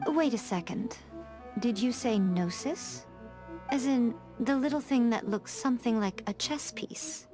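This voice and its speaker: A woman plays a refined, shrewd priestess in a video game. Her voice is fairly high, and she speaks formally, with poise and a sly, teasing knowingness, over clear background music. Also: measured pace, natural voice. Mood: matter-of-fact. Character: elegant, shrewd shrine priestess, composed and slyly teasing. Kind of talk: video game cutscene dialogue. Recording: music under the voice.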